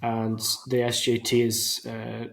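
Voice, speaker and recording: A man's voice: medium pitch, monotone, takes it slowly, gravelly, slight background noise. A man hosting an interview, asking structured questions and adding practical information. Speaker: interviewer, host.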